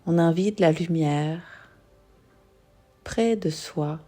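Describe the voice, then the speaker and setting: A woman guiding a yoga nidra meditation in a soothing, unhurried manner, leaving long pauses. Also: lowish voice, mellow, soft background music. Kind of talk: guided meditation.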